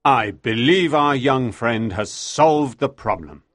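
A man voicing a grave, pompous older professor in an audiobook, in a measured manner. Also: mid-range voice, expressive, dry recording, studio quality. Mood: self-assured. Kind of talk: audiobook character voice.

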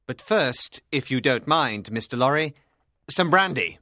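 A man playing a grim, calm lawyer who confronts people firmly and deliberately in a dramatised audiobook. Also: mid-range voice, no room sound, clean studio sound. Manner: firm, deliberate, calmly menacing. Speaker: voice actor in a dramatised story.

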